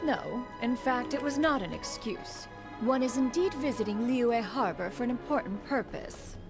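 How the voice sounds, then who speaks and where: A woman's voice: on the high side, expressive, normal speed, music in the background. A woman voicing a proud, aloof, motherly immortal in a video game, with formal, archaic speech. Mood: composed. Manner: formal, haughty, archaic wording.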